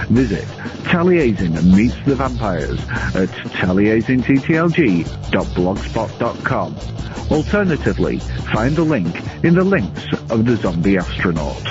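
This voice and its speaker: A man's voice: mid-range voice, very animated, moderate pace. A man reading a scripted film countdown as a guest reviewer. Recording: dull lo-fi recording under music. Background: music.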